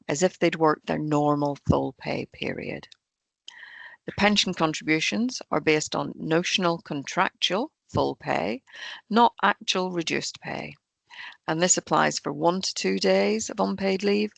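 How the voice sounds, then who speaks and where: A hoarse woman presents an online training webinar for employers. She talks over a video call and explains rules steadily, point by point. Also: on the low side, no room sound. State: croaky, hoarse voice. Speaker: pension fund trainer.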